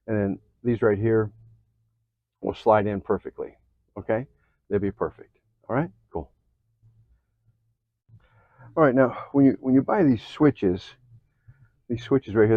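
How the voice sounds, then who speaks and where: An American man giving a casual, folksy how-to, often checking in with a quick okay or all right. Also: on the low side, no room sound, dull audio. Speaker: host of an off-road vehicle DIY channel. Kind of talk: casual how-to chat to camera.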